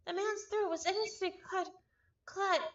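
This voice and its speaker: A woman's voice: very high-pitched, fairly even intonation, unhurried, fairly bright tone, dull audio. A woman reading a story aloud live with casual asides, often stumbling over and repeating words. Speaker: visual novel reader, streamer.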